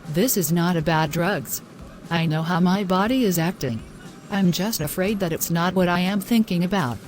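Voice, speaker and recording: A woman's voice: on the low side, moderate pace, a little mellow, soft background music, close to an everyday voice. A woman playing a devout, distressed young girlfriend in a scripted moral story, speaking earnestly in formal phrases. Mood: anxious, distressed.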